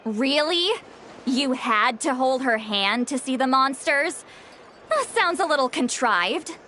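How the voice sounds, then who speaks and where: Lively, high-voiced know-it-all scholar. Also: female voice, takes it slowly, slight background noise, full character voice. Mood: skeptical. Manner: breezy, rambling, pedantic. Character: know-it-all, easily offended, long-lived youthful woman scholar. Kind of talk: video game voice acting. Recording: faint music behind the voice.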